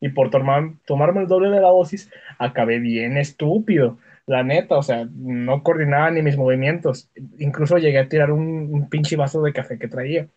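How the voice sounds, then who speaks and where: A young man with a Mexican accent and a fairly high voice tells stories as a casual podcast co-host. His talk is full of slang and offhand swearing. The audio is dull and lo-fi. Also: normal speed. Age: young adult. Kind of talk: casual podcast chat, personal storytelling.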